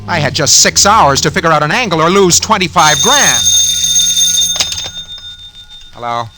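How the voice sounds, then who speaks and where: A man's voice: fairly high pitch, expressive, fairly quick, bright, forceful tone. An actor playing a guilty, nervous schemer who narrates his own crime in hardboiled slang, in 1940s radio drama style. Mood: wary. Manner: hardboiled slangy first-person storytelling. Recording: vintage 1940s radio recording, music behind.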